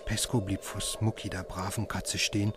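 An actor playing a haunted doctor, a husband living through a nightmare in a radio drama. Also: male voice, on the low side, flat delivery, moderate pace, noisy background, lightly acted. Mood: grave narrating. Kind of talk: radio drama acting and first-person narration. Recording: loud music under the voice.

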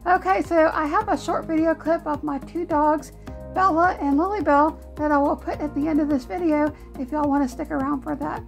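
A woman's voice: high-pitched, fairly even intonation, normal speed, creaky, soft background music. A woman hosting a crafting channel, chatty and laughing often, with her voice worn from a long day. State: losing her voice. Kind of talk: casual vlog talk. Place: home craft room.

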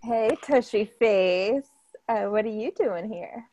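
A woman's voice: mid-range voice, takes it slowly, dark tone, dull audio, slight background noise, close to an everyday voice. A woman reading a sly, scheming ex-convict diner worker in a comedy table read. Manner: sly, wheedling.

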